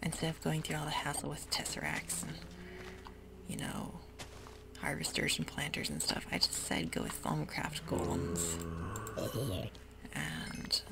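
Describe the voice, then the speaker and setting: A young woman whispering casual ASMR commentary while she plays a video game, talking in a soft, rambling way. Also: deep voice, wildly swooping pitch, unhurried, pauses often. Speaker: ASMR gaming channel host. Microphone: desk mic while gaming. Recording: rough home recording with audible hiss.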